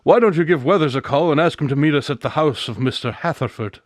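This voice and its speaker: A man's voice: a little high, fast, a little hiss or hum. A man, a voice actor, in playful, mock-dramatic outtake chatter and line rehearsal.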